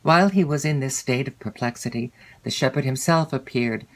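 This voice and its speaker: A woman's voice: rumbling low, a little fast, low-quality recording. Woman narrating a classic novel. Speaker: audiobook narrator.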